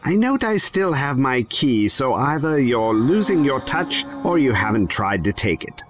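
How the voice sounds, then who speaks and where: A man playing a weary, secretive time traveller in an audio drama, measured, evasive and wry. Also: mid-range voice, big pitch swings, soft background music.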